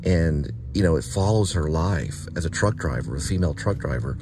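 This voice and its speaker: A man's voice: a bass voice, expressive, moderate pace, noisy background. A man vlogging casually while on a delivery run, talking in a rambling, offhand way that trails off and repeats itself. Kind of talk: casual talk to camera. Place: in and around a car on delivery rounds.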